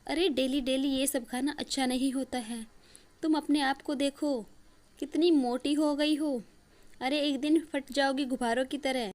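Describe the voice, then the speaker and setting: Woman acting a chiding young husband, high-voiced. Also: fairly even intonation, measured pace, a little mellow.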